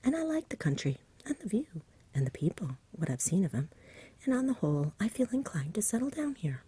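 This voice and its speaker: A woman voicing a lazy, genial, poetry-loving male dragon from a storybook in a soft whisper, with a chatty, polite, dreamy manner. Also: mid-range voice, very animated, brisk, slightly rough. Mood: languid, chatty.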